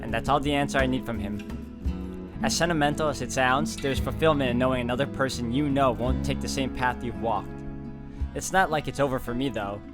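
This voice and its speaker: Man reading game narration aloud over music. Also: mid-range voice. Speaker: let's player. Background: background game music.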